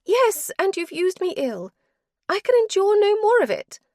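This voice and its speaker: A woman voicing the mistress of a house, a wronged wife speaking in a sharp, reproachful way. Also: extremely high pitch, big pitch swings, measured pace, dry recording, studio quality, pitched above the performer's own voice, heavily acted. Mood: defiant. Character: high, anguished mistress of the house, resolute. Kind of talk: audiobook character voice.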